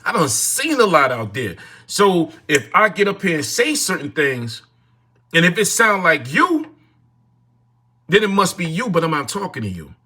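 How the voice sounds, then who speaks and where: A man's voice: a little high, very animated, moderate pace, pushed and bright, breathy edge. A man, hosting a casual livestream, talking into his phone in a repetitive, preachy way and greeting chat viewers. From North New Jersey, USA. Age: 40s. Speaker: livestream host.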